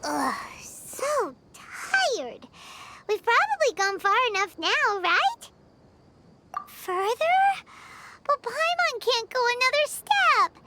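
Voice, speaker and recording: A woman's voice: extremely high pitch, sing-song, takes it slowly, sharp tone, slight background noise, heavily acted. A woman voicing a small, plucky, whiny fairy companion in a video game, talking in childlike exclamations. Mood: weary, whiny. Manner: refers to self in third person, exclamatory.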